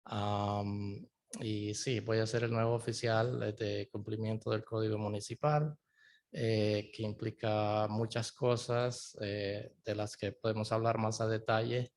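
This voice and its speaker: Young salvadoran guy, native spanish speaker, fairly low voice, lots of uhs and ums at a town meeting. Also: steady tone, moderate pace, darkish tone. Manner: hesitant, many filler sounds. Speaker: code enforcement officer. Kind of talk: self-introduction at a town council meeting. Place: council meeting room.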